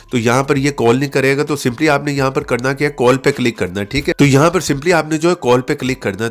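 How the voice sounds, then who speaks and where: A man hosting a tech tips video, explaining things step by step in an instructional way with frequent short check-in tags. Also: medium pitch. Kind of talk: app tutorial narration.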